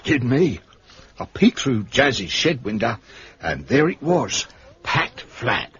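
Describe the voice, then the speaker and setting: An actor playing a rough, cheeky working-class London manservant in a British detective radio drama. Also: male voice, medium pitch, very animated, takes it slowly, a bit bright, exaggerated voice. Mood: cheeky, confiding. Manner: colloquial, wry. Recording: dull, lo-fi radio recording.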